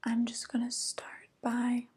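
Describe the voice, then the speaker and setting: A young woman playing a softly coaxing evil witch in an ASMR roleplay, whispering slowly and gently, close to the microphone. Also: on the high side. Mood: admiring, coaxing. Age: young adult. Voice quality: breathy whisper. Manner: slow, gentle, flattering, falsely kind. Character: soft, coaxing evil witch luring a guest.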